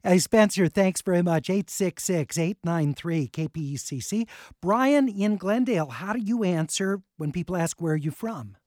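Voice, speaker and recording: A man's voice: high-pitched, expressive, moderate pace, a little mellow, dry recording, studio quality. A man hosting a radio call-in show, chatting casually and reading listener comments aloud. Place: radio studio. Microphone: studio microphone.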